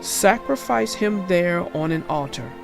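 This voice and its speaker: Commanding storybook deity, played by a female narrator; grave, unhurried, faint music behind. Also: fairly low pitch, lively intonation, gravelly. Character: God, solemn commanding male deity of a Bible storybook.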